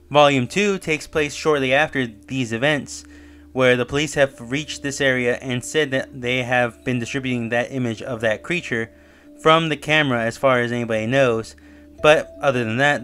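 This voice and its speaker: A man's voice: mid-range voice, fairly bright tone. A man hosting his own channel, casually recapping and reviewing a horror web series, talking loosely with fillers and self-corrections.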